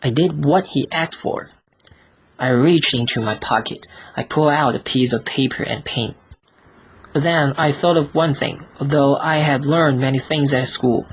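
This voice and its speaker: A man reads a storybook as scripted audiobook narration, in short, careful, deliberate phrases. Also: mid-range voice, slightly rough, poor audio. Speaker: audiobook reader.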